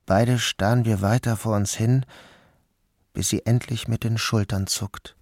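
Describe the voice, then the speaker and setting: A man's voice: lowish voice, fairly even intonation, unhurried, darkish tone, no echo, studio quality. Subdued man narrating in first person.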